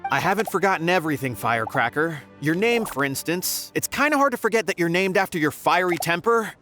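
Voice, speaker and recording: Hesitant paper frog singer. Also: male voice, high-pitched, animated, fairly quick, sharp tone, faint music behind. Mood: wry. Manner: hesitant, wry.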